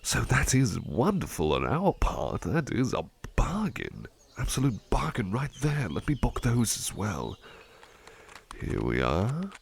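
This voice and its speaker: A man's voice: mid-range voice, huge pitch swings, measured pace, vocal fry, breathy edge, heavily acted. A man playing a devoted, affectionate butler in an ASMR roleplay, speaking politely and tenderly with hesitant stammers. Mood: flustered, pleased. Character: devoted, affectionate male butler, doting and flustered. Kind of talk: ASMR roleplay, soft personal attention.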